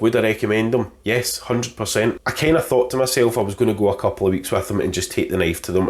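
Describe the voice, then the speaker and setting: Bunged-up man, low voice. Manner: cheeky, jokey, full of innuendo. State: congested with a cold. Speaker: golf vlogger reviewing gear. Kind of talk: casual product review to camera. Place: home kitchen.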